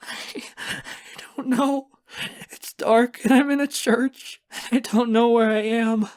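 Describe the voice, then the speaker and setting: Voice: medium pitch, steady tone, takes it slowly, clean tone, no room sound, voice pushed up, close to an everyday voice. A narrator voicing a distraught, heartbroken young protagonist in an audiobook, crying and pleading in a choked-up, strained voice. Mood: panicked crying.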